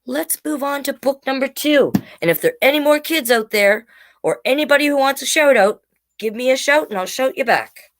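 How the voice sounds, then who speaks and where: A woman's voice: sits high, animated, brisk. A woman hosting a children's storytime, reading picture books in a playful, encouraging way and chatting directly to young viewers. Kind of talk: animated picture-book read-aloud with chatty asides to young viewers.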